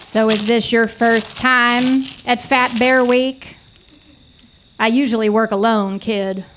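A woman's voice: fairly high pitch, fairly even intonation, takes it slowly, a bit bright, slight background noise. A woman acting a brash, earnest wildlife photographer in a live stage comedy, emphatic and self-important. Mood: self-assured. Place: small theater, live performance.

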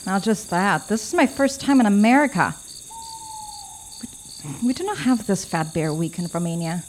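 Woman playing a puzzled foreign journalist, higher voice. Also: big pitch swings, normal speed, natural voice. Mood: polite. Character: puzzled, polite Romanian woman journalist visiting America. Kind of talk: live stage comedy acting. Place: small theater, live performance. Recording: faint background noise, live theater stage.

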